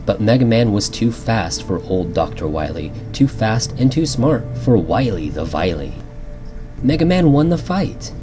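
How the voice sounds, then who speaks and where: A man's voice: fairly low pitch, sing-song, a little slow. A man narrating a rhyming bedtime storybook as a storyteller, in an ASMR style. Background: background music.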